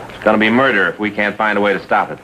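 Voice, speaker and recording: An actor playing a concerned American police detective, direct and questioning, in a 1950s radio drama. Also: male voice, lowish voice, racing, sharp tone, low-quality recording. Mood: concerned, exasperated. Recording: old radio recording, faint noise.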